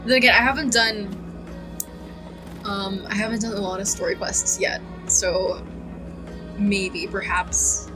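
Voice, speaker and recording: A woman's voice: mid-range voice, fairly even intonation, unhurried, long pauses. A woman streaming a game, playful and casual, commenting and reading dialogue choices aloud. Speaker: gaming streamer. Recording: game music behind the voice.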